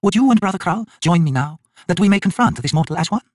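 A man voicing a high, solemn elder goddess. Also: lively intonation, fast talker, no room sound, clean studio sound. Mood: sombre.

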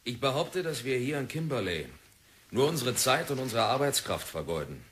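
An actor playing a stubborn, zealous young geologist in a German radio play, speaking earnestly and insistently, with deference to a superior. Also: male voice, medium pitch, big pitch swings, moderate pace, fairly bright tone, a little hiss or hum. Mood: earnest conviction. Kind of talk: German radio drama acting.

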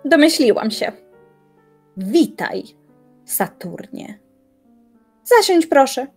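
An actress playing a proud young woman philosopher in an audio drama, trading sharp, sarcastic retorts. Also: high-pitched, big pitch swings, slow, faint music behind, full character voice. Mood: composed. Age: young adult. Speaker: voice actor.

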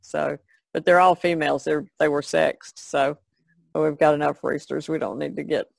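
Gravelly-voiced woman chatting casually. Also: sits low, steady tone, normal speed, no echo. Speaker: artist guest. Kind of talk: casual podcast chat.

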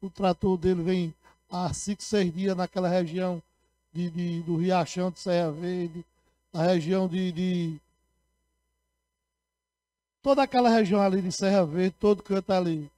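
A middle-aged man giving a council floor speech into a handheld microphone, sending repetitive shout-outs to rural communities while gesturing widely, with a sore throat. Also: sits high, steady tone, unhurried, long pauses, dry recording. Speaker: city councilman. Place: municipal council chamber, indoors.

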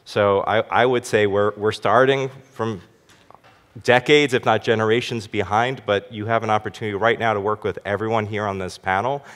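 A man's voice: lowish voice, steady tone, normal speed, fairly bright tone. A man in his thirties speaking as a panelist, pointed and critical, into a headset mic on stage. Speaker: panelist, city council member. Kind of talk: panel discussion. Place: large hall stage. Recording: reverberant hall.

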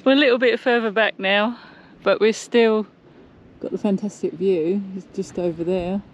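Woman, forties, mid pitch, chatty, informal travel vlog, light ambient noise. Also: fairly even intonation, moderate pace, muffled recording. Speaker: travel vlogger. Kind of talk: casual chat to camera. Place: mostly outdoors on open clifftop.

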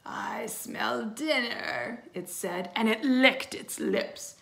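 A woman's voice: on the high side, heavily acted. Woman as a spooky, suspenseful boy storyteller. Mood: spooky, hushed. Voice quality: hushed, breathy at times. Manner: slow, drawn-out, suspenseful emphasis. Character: young boy babysitter spinning a spooky monster tale.

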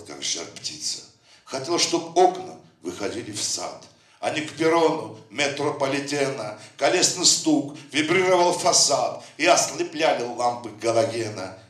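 A man's voice: medium pitch, a bit bright. A man presenting his poetry book, reciting verse with a rhythmic cadence and chatting loosely between poems. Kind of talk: poetry reading with anecdotes. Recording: echoey room, voice from a distant mic.

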